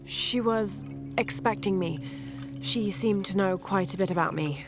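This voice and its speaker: An actress voicing a resolute young woman adventurer, speaking in calm, measured, earnest tones in a video game cutscene. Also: medium pitch, lightly acted. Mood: thoughtful. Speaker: explorer aiding a rebellion. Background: game music score.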